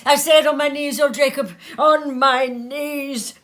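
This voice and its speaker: A woman's voice: sits high, steady tone, measured pace, a bit bright, pitched above the performer's own voice. A woman reading a storybook aloud and voicing an elderly male miser, reformed and giddy with joy, who exclaims breathlessly and laughs through tears. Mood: fervent. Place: home sitting room.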